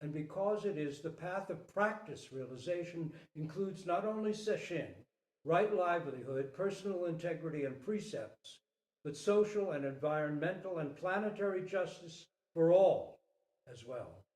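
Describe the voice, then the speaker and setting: A man giving a literary, scripted Zen dharma talk as a teacher and storyteller, with emphatic phrasing and dramatic pauses. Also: fairly high pitch, lively intonation, a little mellow. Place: indoor hall at a Zen center. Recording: roomy, echoing space.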